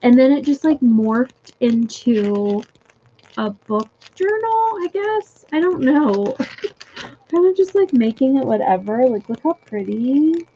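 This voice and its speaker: Mellow chatty woman, slightly dull audio. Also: high-pitched, lively intonation, takes it slowly, breathy edge. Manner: chatty, giggly, lots of fillers. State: losing her voice. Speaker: livestream host. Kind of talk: casual livestream chat with viewers. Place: home room.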